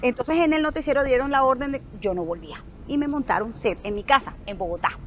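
A woman's voice: high voice, quick pace, a little hiss or hum. A woman in her thirties with a Colombian Caribbean coast accent, telling stories animatedly as an interview guest outdoors. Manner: expressive, acts out dialogue. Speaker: guest, news anchor. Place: outdoor resort terrace.

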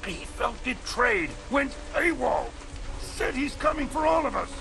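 An actor playing a tense, defensive military commander in a video game, speaking urgently in a raised voice. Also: male voice, extremely high pitch, big pitch swings, a little slow, gravelly, heavily acted. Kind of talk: video game cutscene acting. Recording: very loud music, rainy background.

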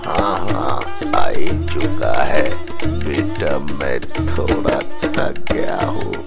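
A man's voice: very deep voice, expressive, drawn-out words, creaky, full character voice. A man voicing a sly, deceitful old male crane in a cartoon. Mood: falsely kind. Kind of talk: cartoon voice acting. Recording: loud music behind the voice.

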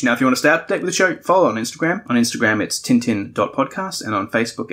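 A man with a slightly low voice presents a podcast review. He explains his points thoughtfully, moving between conversational talk and more scripted delivery. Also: fairly bright tone. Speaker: podcast host.

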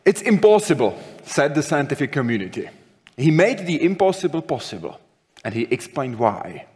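A man's voice: on the high side, sing-song, normal speed, darkish tone. A young man acting as moderator, introducing a speaker in a dramatic, rehearsed way in a large hall. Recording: long reverb of a large hall.

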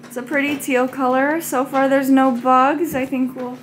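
A young woman in her twenties vlogging casually to a handheld camera, chatty and quick to startle. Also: high voice, little pitch movement. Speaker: outdoor vlogger. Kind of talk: casual vlog narration. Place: motel room, then outdoors in a pine forest. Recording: noisy handheld camera audio.

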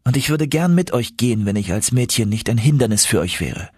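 A man voicing a gentle, brave young sweetheart girl, tender and earnest, in an audiobook. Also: medium pitch, animated, fairly quick, husky, dry recording, clean studio sound. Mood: earnest, encouraging.

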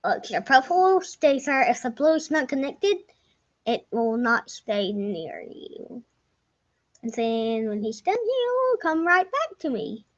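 A woman's voice: high voice, big pitch swings, slow pace. A young woman giving casual, rambling commentary over a computer game, correcting herself as she goes and sometimes dropping to a whisper. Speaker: gameplay narrator. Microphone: desk microphone. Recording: dull lo-fi home mic.

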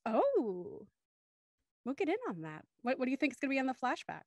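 Young woman host with a high-pitched voice. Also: very animated, brisk, darkish tone, dry recording. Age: young adult. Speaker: show host. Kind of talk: upbeat livestream hosting, introducing guests. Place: small room at home, video call. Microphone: studio microphone on a stand, headphones.

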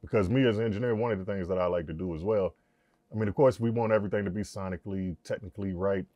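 A man in his forties with a deep voice, a mix engineer casually explaining his work in a studio control room, relaxed and slangy. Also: rapid-fire, no room sound, muffled recording. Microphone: lapel mic.

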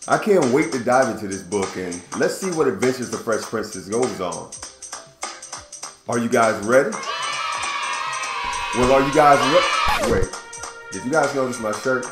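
A man in his thirties hosting a storytime, reading a children's picture book aloud indoors with a playful, animated delivery. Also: medium pitch, a little slow, pauses often, over music. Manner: animated, playful, stretches words for young listeners. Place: indoor room at home.

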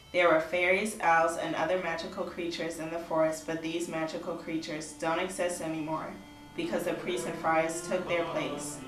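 A woman reading a scripted medieval tale as narrator of an amateur puppet show. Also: lowish voice. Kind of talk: scripted storytelling. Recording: echoey, distant, loud music underneath.

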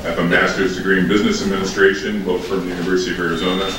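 Deep-voiced older man, echoey chamber. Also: level tone. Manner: measured, businesslike, with fillers. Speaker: public commenter. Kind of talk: measured public comment at a county board meeting. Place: large council chamber. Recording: echoey hall from far off, noisy.

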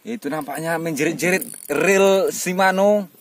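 A man's voice: on the high side, moderate pace. A young man commentating his night fishing trip outdoors, exclaiming as the fish fights the line. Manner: exclaims loudly at the reel's screaming. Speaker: fishing vlogger. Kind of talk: excited live commentary. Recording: outdoor noise.

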